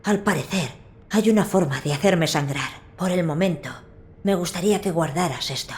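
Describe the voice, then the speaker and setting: Woman voicing a composed young noble lord, even-toned. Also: fairly low pitch, a little hiss or hum, close to an everyday voice. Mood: earnest. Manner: formal, composed, measured. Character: young noble child lord, heir of dragon bloodline, calm and earnest. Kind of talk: dubbed video game cutscene acting.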